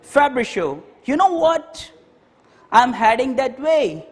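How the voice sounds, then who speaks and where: A man reading aloud as a friendly, helpful Italian shoe shop owner, pitched noticeably high for a man and read slowly, line by line from a textbook dialogue. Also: big pitch swings, breathy edge, full character voice.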